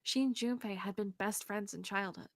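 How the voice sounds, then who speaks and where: Female co-host for a visual novel stream, reading narration expressively, medium pitch, dry room. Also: fairly even intonation, moderate pace, no echo. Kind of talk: scripted narration read aloud on a game stream. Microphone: close streaming mic, dry sound.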